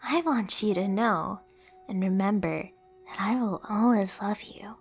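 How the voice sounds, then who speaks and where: A woman's voice: mid-range voice, unhurried, breathy edge, faint music behind. A woman playing a lonely, jealous, unhinged pet catgirl in an emotional anime ASMR roleplay, dramatic and sometimes whispery. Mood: coldly menacing.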